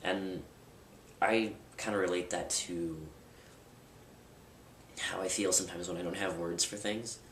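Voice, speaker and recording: Young man, very low voice, candid rambling vlogger, roomy distant home-camera sound with noise. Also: steady tone, unhurried, pauses often, a bit bright. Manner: candid, rambling with fillers and restarts. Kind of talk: casual personal vlog. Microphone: camera mic at a distance.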